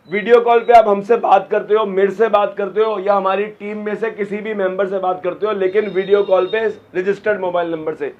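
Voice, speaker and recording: This is a man with a fairly high voice, a little hoarse from a sore throat. He reels off phone prices in short, clipped lists, like a seller pitching in a small shop. The sound is dull and lo-fi.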